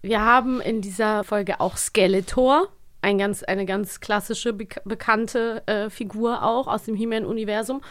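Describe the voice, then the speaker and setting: A woman's voice: mid-range voice, steady tone, measured pace. A woman co-hosting a podcast, chatting casually and with a giggly manner about audio dramas. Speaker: podcast co-host.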